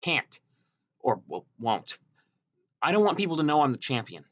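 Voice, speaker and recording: A man's voice: fairly high pitch, sing-song, normal speed, voice pushed up. A man voicing a modest, socially anxious young swordsman in an audiobook, with a wry, hesitant manner. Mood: reluctant.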